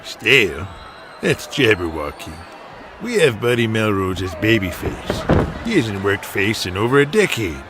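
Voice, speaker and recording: A man's voice: on the low side, big pitch swings, measured pace. An actor playing a stubborn, defensive older father who promotes wrestling, in an audio drama. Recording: noisy arena with crowd behind. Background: crowd and arena ambience.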